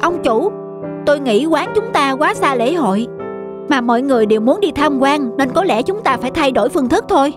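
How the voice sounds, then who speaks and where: A woman's voice: high-pitched, expressive, a little fast, music in the background. A woman voicing an eager, deferential young male waiter in a cartoon. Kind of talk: cartoon story acting.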